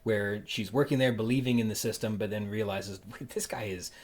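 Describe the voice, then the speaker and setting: Casual man hosting a podcast, halting delivery. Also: lowish voice, a little mellow. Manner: halting, rambling, playfully joking. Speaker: podcast host interviewing a guest. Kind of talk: casual interview chat.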